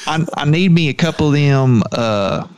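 A man's voice: a little high, animated, moderate pace, smooth, dry recording, natural voice. A man putting on a thick West Texas drawl.

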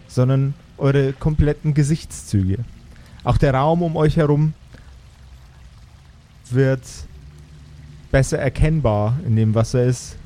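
Man, game master, mellow voice, mid pitch, measured descriptive narration, pauses between phrases. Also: slight background noise. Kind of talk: improvised tabletop RPG narration.

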